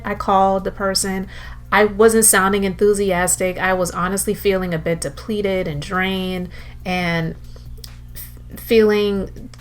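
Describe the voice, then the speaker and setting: A woman telling a personal motivational story in an earnest way, with deliberate, emphatic repetition. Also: fairly low pitch. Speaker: entrepreneur telling her story. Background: background music.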